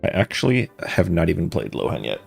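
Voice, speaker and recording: Low-voiced man, creaky fry, casual game stream commentary, dry and offhand, faint music. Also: wildly swooping pitch, brisk. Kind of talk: casual live playthrough commentary.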